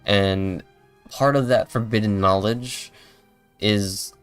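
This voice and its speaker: Low-voiced man musing over a game. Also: steady tone, slow pace, clear, resonant tone. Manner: thinking aloud, musing. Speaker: game streamer. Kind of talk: casual live game commentary. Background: faint game music.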